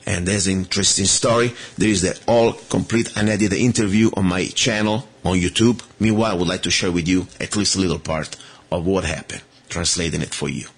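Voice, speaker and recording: Italian-accented male talk radio host, middle-aged, low husky rasp, earnest and halting. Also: steady tone. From Italy. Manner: earnest, with many fillers and restarts. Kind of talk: opinionated radio monologue.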